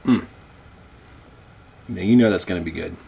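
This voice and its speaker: A man's voice: low voice, normal speed, creaky, noisy background. A young man, a composer, hosting a joking home cooking show in a small kitchen, rambling mock-earnestly with lots of fillers. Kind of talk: tongue-in-cheek casual cooking show talk. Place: small home kitchen with brick wall, indoors.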